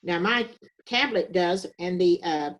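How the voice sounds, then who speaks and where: A woman's voice: fairly low pitch, unhurried. An older woman, a resident in a group video call, talking casually in a plain-spoken, practical way. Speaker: senior living resident in theater group. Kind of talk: casual meeting talk.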